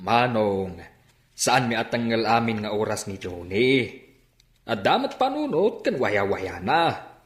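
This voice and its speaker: A lively, curious, easygoing father. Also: lowish voice, slow pace, reverberant. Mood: defensive. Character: easygoing, curious father chatting about his child. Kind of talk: Filipino radio drama acting.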